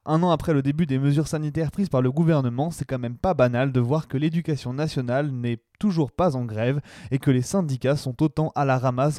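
A man's voice: mid-range voice, dark tone, dry recording, clean studio sound. A man presenting scripted radio commentary in a slangy, colloquial, sardonic way.

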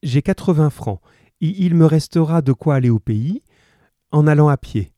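Man voicing an anxious young mother, raised pitch. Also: lively intonation, normal speed, warm tone, no room sound, studio quality, close to an everyday voice. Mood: anxious, eager. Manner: hesitant, stammering, earnest.

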